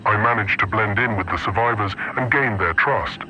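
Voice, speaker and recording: A man's voice: on the low side, normal speed, bright, forceful tone, slight background noise, poor audio. A man playing an obedient spy henchman in a sci-fi audio drama, reporting deferentially to his lord through a radio communicator. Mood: dutiful. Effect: radio communicator filter.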